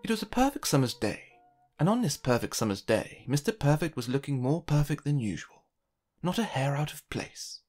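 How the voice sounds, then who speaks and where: Expressive, lively children's storybook narrator. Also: mid-range voice, moderate pace, faint music behind.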